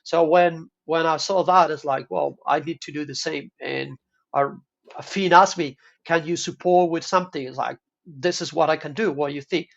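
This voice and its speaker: A man from Chile with a Chilean Spanish accent, speaking English as a second language in a halting, friendly casual chat over a video call. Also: on the high side, vocal fry, a bit bright. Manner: halting, searching for words, friendly. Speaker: team supporter and maker. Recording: dull lo-fi call audio.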